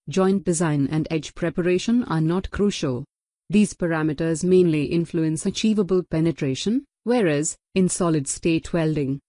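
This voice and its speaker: A woman narrating a technical tutorial over slides, reading a numbered list in an even, measured way. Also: on the low side, no room sound, clean studio sound.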